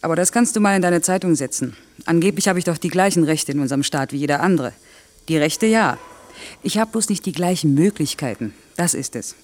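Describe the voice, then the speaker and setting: A woman's voice: low-pitched, expressive, brisk, a little mellow. A woman in a German radio play acting a proud, disheartened worker with a curt, guarded way of talking. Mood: bitter. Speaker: actor.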